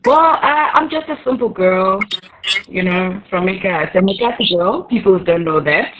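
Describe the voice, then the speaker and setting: A woman's voice: mid-range voice, expressive, normal speed, narrow, tinny audio. A young South African woman, a singer, chatting casually about herself in an interview and laughing easily. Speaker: guest singer.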